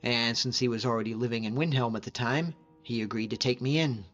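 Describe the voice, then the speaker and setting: Male actor as friendly young hunter, game companion, earnest, modest loner, measured delivery, slightly dull audio, background music. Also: mid-range voice, fairly bright tone, natural voice. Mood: reflective, candid. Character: friendly Nordic hunter, young man, modest lone wolf. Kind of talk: video game companion dialogue acting.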